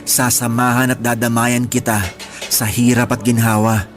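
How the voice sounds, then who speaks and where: A man's voice: medium pitch, little pitch movement, moderate pace, natural voice. Actor as earnest, devoted young male suitor. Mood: earnest, pleading. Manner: earnest, pleading, sincere. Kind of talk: radio romance drama acting. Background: background music.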